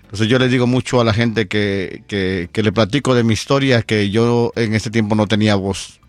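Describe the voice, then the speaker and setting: A middle-aged man from Guatemala, telling his story as a radio guest, unhurried with many fillers. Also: fairly low pitch, no echo. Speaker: storyteller and event producer, guest. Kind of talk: radio interview. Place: radio studio.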